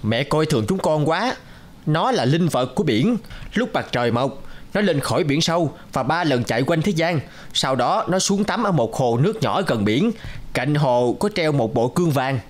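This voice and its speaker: Animated giant hunter, boastful son male actor. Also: a little high, moderate pace, lightly acted.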